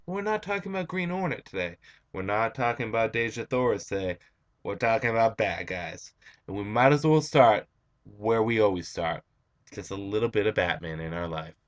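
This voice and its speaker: A young man with a raspy, hoarse voice, chatting casually and eagerly as a comic book reviewer, recorded on a computer webcam. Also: on the low side, expressive, normal speed, lo-fi sound. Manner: enthusiastic, chatty, with frequent um and uh. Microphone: computer webcam mic.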